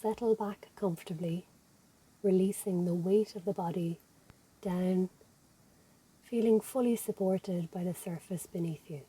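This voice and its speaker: Woman, Irish accent, breathy and soft-edged, mellow tone, gentle, soothing, guided relaxation. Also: on the low side, a little slow, pauses often, poor audio. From Ireland. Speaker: physiotherapist and yoga instructor leading relaxation. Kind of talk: guided meditation.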